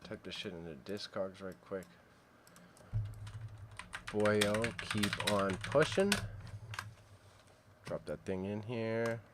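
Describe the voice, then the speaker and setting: Low-voiced man streaming with background noise. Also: slow, pauses often, a bit bright. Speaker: streamer, antique reseller. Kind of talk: casual livestream work narration, muttering to self. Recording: clear background noise, keyboard clicks. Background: typing and computer clicks.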